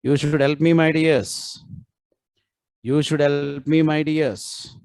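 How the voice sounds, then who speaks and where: Slow, coaxing coding teacher. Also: male voice, on the high side, flat delivery, darkish tone, clean tone, dry recording. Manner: coaxing, repeats prompts to students. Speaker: programming instructor. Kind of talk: live online coding class.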